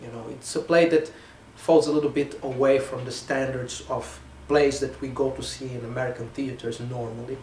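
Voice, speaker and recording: A man in his thirties from Angola with an Angolan Portuguese accent, talking about himself and his work as an actor in an emphatic, deliberate manner. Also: medium pitch, steady tone, darkish tone. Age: 30s. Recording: roomy, distant mic, background noise.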